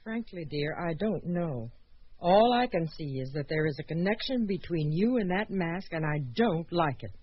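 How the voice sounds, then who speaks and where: Radio play: firm, concerned wife, frank and expressive, husky, slightly muffled recording. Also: female voice, lowish voice, normal speed, no room sound. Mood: worried, firm. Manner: frank, gently scolding. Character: concerned, firm middle-aged wife, weary but hopeful. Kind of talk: radio drama acting.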